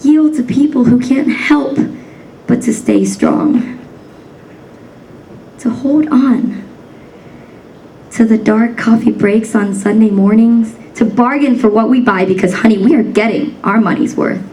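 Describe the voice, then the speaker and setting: A woman's voice: fairly high pitch, unhurried, pauses often, mellow, distant mic, slight background noise, poor audio. A young woman from the United States performing a spoken word poem in a rhythmic, rhyming cadence, emphatic and defiant. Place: banquet hall stage.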